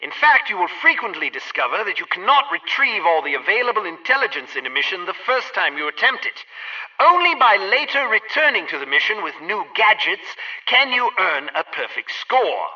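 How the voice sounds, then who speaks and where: A man's voice: high voice, very animated, normal speed, pushed and bright, recorded from a distance, muffled recording, full character voice. An actor playing a fussy, eager male gadget instructor in a spy video game, speaking in precise, wordy, formal lessons. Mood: precise, enthusiastic. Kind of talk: scripted instructional narration, video game acting.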